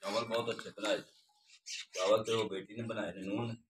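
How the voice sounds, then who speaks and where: A man's voice: lowish voice, a little fast. Older man, distant and noisy outdoors. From Pakistan. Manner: relaxed, praising the food. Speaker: father of the host. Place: village courtyard, outdoors. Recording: distant camera, loud outdoor noise and birds.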